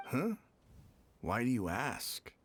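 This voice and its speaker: Offhand, animated guard. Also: male voice, medium pitch, slow, a little hiss or hum. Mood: puzzled, casual. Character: defensive, casual male gate guard. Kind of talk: anime game dialogue.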